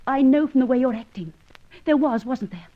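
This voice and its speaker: A woman's voice: sits high, animated. An actress playing a secretive, anxious woman double agent in an old radio melodrama, pleading with dramatic intensity. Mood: suspicious, agitated. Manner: dramatic, urgent, breaking into hushed pauses. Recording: dull lo-fi old radio recording.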